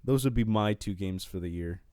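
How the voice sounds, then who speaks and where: A man's voice: lowish voice, a little fast, mellow, dry recording, clean studio sound. A man co-hosting a podcast, chatting casually among friends and giving blunt, informal opinions. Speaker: podcast co-host.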